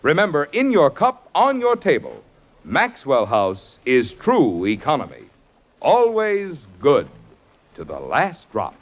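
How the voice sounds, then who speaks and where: A man's voice: on the high side, huge pitch swings, takes it slowly. A vintage radio announcer delivers the ad with persuasive, emphatic salesmanship. His voice leaps dramatically up and down, and the sound is muffled the way old broadcasts are. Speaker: commercial announcer.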